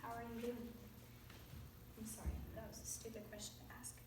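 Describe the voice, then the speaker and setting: A young woman on stage playing an earnest, sympathetic teenage schoolgirl who gently consoles. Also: on the high side, flat delivery, a little fast, close to an everyday voice. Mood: earnest, hesitant. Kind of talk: high school readers theater performance. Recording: very echoey room, distant mic, faint noise.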